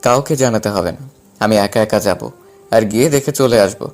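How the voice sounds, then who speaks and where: A man's voice: lowish voice, moderate pace, darkish tone, faint music behind, close to an everyday voice. A man playing a cheeky but caring young man, the younger son of the family, in an audio story. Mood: casual. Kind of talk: Bengali audio story acting.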